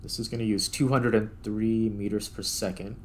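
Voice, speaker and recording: A man's voice: lowish voice, fairly even intonation, normal speed, a little hiss or hum. A man giving casual gameplay commentary, thinking aloud in a tentative, self-questioning way as he narrates each step. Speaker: gaming video host.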